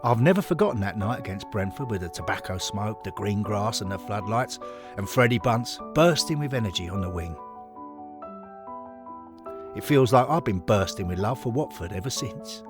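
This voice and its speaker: Man acting a wistful, animated football fan. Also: lowish voice, normal speed, music in the background, lightly acted. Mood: fond, nostalgic. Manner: earnest, flowing reminiscence. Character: wistful devoted male football fan recalling boyhood. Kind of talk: dramatic audiobook monologue.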